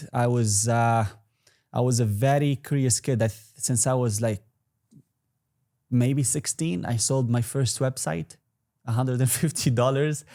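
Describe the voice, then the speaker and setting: A man's voice: mid-range voice, mellow. A young man in his twenties whose first language is Arabic, talking casually as an interview guest, rambling with restarts and fillers. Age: 20s. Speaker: guest entrepreneur.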